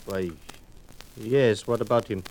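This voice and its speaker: A man's voice: lowish voice, slow pace, a little mellow. An actor playing a guarded, formal male cotton merchant in a 1940s radio drama. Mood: wary, puzzled. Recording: faintly noisy, clicky old radio recording.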